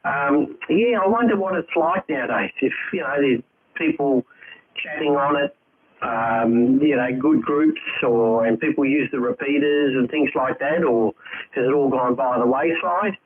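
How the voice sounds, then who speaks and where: A man's voice: mid-range voice, unhurried, slightly rough. Tinny radio voice of a musing man. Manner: musing, thinking aloud. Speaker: radio hobbyist. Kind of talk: casual chat over ham radio. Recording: tinny band-limited radio link, background chatter.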